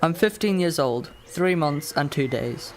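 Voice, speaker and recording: A man's voice: a little high, expressive, measured pace, slight background noise. A man playing a precise, literal-minded fifteen-year-old boy in a radio drama. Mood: matter-of-fact. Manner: matter-of-fact, precise, literal. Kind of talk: radio drama narration and dialogue.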